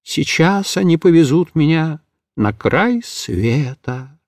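A man's voice: very high voice, steady tone, extremely slow, no room sound, clean studio sound, voice pushed up, full character voice. A man voicing a small, frightened talking male cat in a storybook reading, plaintive and pleading. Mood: sighing.

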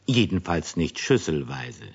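A man with a husky voice narrating a children's radio play in a conversational, wry, understated way. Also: low voice, sing-song, a little slow. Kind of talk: storytelling addressed to children. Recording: dull vintage radio recording.

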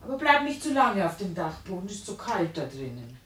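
A woman's voice: medium pitch, very animated, a little fast, close to an everyday voice. An actress playing an anxious, caring wife and mother in a radio play, fussing solicitously. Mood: anxious, motherly. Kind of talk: German radio play acting.

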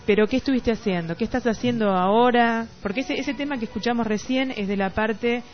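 A woman's voice: on the high side, brisk. Argentine woman hosting muffled casual radio. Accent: Argentine Rioplatense. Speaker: radio host. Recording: dull lo-fi radio, faint music behind.

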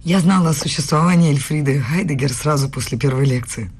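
A husky young woman, a clever student in an intimate radio play. She is coy and quick-witted, teasing with formal politeness. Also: deep voice, a little mellow. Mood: composed, knowing. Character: young female student, clever, coy, bold in secret love. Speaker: radio drama actress.

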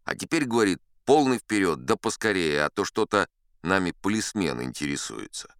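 A man's voice: low voice, sing-song, fairly bright tone, clean tone, dry recording, clean studio sound, heavily acted. A man voicing an eager, resourceful male first mate in a storybook reading. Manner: brisk, enthusiastic.

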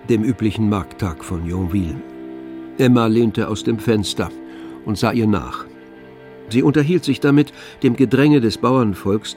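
A man's voice: on the low side, a little mellow. A man narrating a radio drama adapted from a novel in a scripted literary style.

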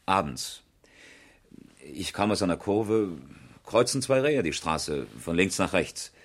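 Indignant hobby racer, deep register, colloquial, scoffing delivery, 1970s radio drama. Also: male voice, animated, takes it slowly, full character voice. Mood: matter-of-fact recounting. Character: breezy, indignant male amateur rally driver and engineer. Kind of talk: 1970s German radio crime drama acting.

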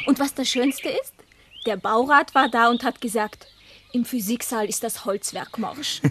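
A woman's voice: on the high side, a little hiss or hum. A woman playing an affectionate, coquettish teenage schoolgirl in a radio play, with a playful, hesitant manner. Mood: delighted, playful. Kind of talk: German radio play acting.

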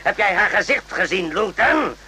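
An actor playing a menacing, paranoid military officer in a radio play, with a husky voice and a mocking, needling manner. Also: male voice, sits high, lively intonation, normal speed, pushed and bright, slight background noise, full character voice. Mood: taunting. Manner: mocking, needling, rhetorical questions.

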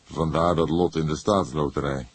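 A man playing a calm older police detective who questions in a measured, probing way in an audiobook. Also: extremely low pitch, slightly rough, no room sound, heavily acted. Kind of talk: acted audiobook dialogue.

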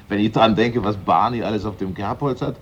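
An actor playing a flippant, easygoing insurance investigator in a German radio crime drama, with a wry, informal manner. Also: male voice, on the low side, moderate pace, lightly acted. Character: Gregory Naylor, flippant male insurance investigator, easygoing ladies' man. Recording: muffled radio drama recording with noise.

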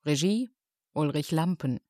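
A woman reading a scripted announcement as a radio announcer, formal and clearly phrased. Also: deep voice, expressive, measured pace, a little mellow, dry recording, studio quality.

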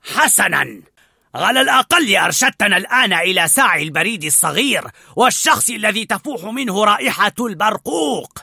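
A storyteller voicing a gruff, commanding male guard dog who barks orders harshly in a storybook reading. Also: mid-range voice, sing-song, brisk, bright, forceful tone, no room sound, clean studio sound, voice pushed up, exaggerated voice. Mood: mocking.

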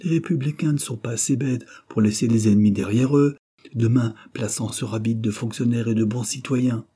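A man's voice: mid-range voice, fairly even intonation, moderate pace, warm tone, husky, voice pushed up, close to an everyday voice. A man voicing a brusque, impatient militia commander in a storybook, blustering with exasperation. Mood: insistent.

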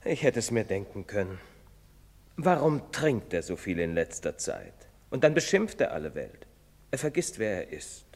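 An actor playing a paternal, reproving village priest in a German stage drama, speaking in a measured, moralising manner. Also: male voice, fairly low pitch, very animated. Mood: disapproving. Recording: echoey theatre-style hall reverb.

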